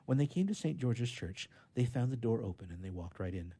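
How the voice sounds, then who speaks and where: Male storyteller, children's tale, expressive reading, very varied pitch, husky. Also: brisk.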